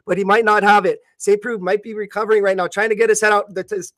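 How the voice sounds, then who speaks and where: A man calls a fight live in a fairly high male voice, close and dry on the recording. He narrates blow by blow as a radio commentator, haltingly, often repeating words and fixing his phrases as he goes. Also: level tone, fairly bright tone, no room sound. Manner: halting, repeats and corrects himself mid-phrase.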